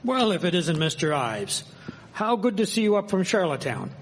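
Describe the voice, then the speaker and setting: A man playing a proud, higher-voiced newspaper editor. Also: very animated, moderate pace, low-quality recording. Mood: proudly indignant. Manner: formal, old-fashioned, declamatory wording. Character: proud, civic-minded 1873 newspaper editor, earnest man. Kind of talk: amateur historical radio drama.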